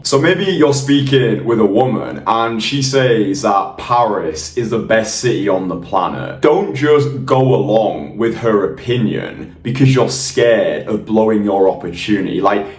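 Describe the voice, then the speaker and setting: A young man talks straight to the viewer in a blunt, confident coaching tone, dropping swear words for emphasis. His voice sits on the low side. It sounds roomy and a little distant from the mic. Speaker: dating coach. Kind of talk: direct-to-camera coaching monologue.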